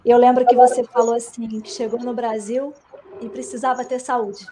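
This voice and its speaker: Casting: Brazilian woman, quite high voice, effusive informal live-stream host, slight noise on the online audio. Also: little pitch movement, moderate pace, mellow. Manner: effusive, informal, addressing viewers. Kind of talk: casual live-stream hosting.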